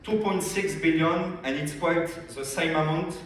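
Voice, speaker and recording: A man's voice: on the high side, unhurried, slight background noise. French-accented man in an echoing hall. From France. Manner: energetic, addresses audience directly with questions. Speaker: business development director. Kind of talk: convention training talk. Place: large convention hall. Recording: echoey hall, speaker far from mic.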